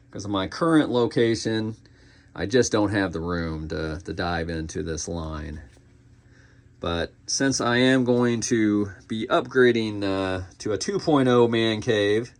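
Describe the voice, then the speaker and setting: Male collector-reviewer, lower-pitched, informal and unscripted, pausing to think mid-sentence. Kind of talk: casual unscripted product review.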